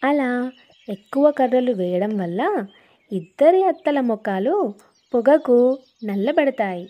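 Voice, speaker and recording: A woman's voice: a little high, expressive, measured pace, highs cut off. A woman reading scripted narration for a cartoon moral story.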